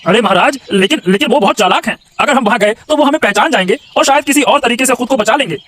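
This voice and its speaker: A man's voice: very high voice, quick pace, a bit bright. A man voicing a scheming, indignant royal minister in a cartoon, scolding and repeating himself with petty bluster. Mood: fawning, sly. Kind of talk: exaggerated Hindi cartoon voice acting.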